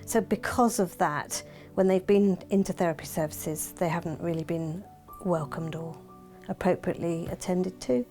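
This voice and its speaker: A woman explaining her organisation's work in a measured way, as in an interview. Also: fairly low pitch, steady tone, dark tone, faint music behind. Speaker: charity therapy service staff. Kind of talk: explanatory interview talk to camera.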